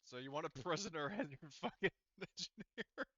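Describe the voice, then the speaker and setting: A man's voice: on the high side, lively intonation, moderate pace, sharp tone, no echo, lo-fi sound. A man working as game master in casual tabletop session talk, thinking aloud and correcting himself as he goes.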